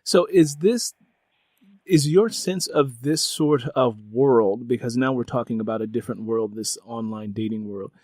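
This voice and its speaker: A male podcast host with a fairly high-set voice leads an interview. He stretches phrases out for emphasis. The sound is close and dry. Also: darkish tone, dry recording.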